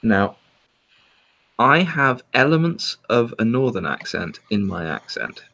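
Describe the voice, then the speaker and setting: A man's voice: mid-range voice, sing-song, slow pace, creaky, bright, forceful tone. A man from southern England with a southern English accent, hosting a casual chat and laughing often. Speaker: host.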